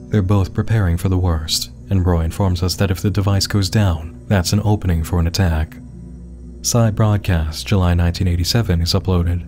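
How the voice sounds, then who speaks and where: Low-pitched man narrating over music. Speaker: narrator and host of a web series explainer. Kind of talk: scripted narration.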